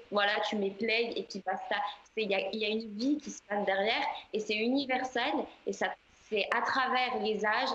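A woman singer answering interview questions in a relaxed, chatty way, with many fillers. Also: mid-range voice, reverberant, lo-fi sound, a little hiss or hum. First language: French.

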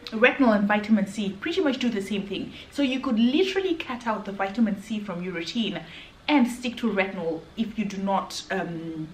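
A woman's voice: mid-range voice, lively intonation, a little fast. A woman in her thirties, not a native English speaker, chatting earnestly to camera about skincare and restarting often. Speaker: beauty vlogger. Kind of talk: casual skincare review to camera. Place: hotel room, indoors. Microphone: camera at arm's length. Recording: echoey room, faint background hum.

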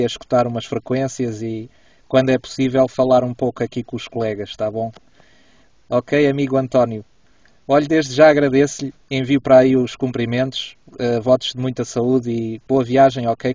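A man's voice: medium pitch, darkish tone. A man with a European Portuguese accent chatting casually and courteously as a radio ham inside a car, often checking back with his listener. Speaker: amateur radio operator. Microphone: camera a little way from him. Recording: dull, roomy, distant camera microphone.

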